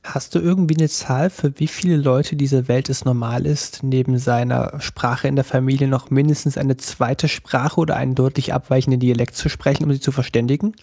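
A man's voice: medium pitch, fairly even intonation, brisk, gravelly, few pauses, mellow, no echo, studio quality. A man interviewing a guest, asking long and precise questions with curiosity.

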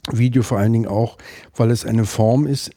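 A middle-aged man with a Berlin accent, guesting on a podcast and talking in a thoughtful, hesitant way with many pauses and restarts. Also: medium pitch, steady tone, mellow, no room sound. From West Berlin, Germany. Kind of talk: casual interview conversation.

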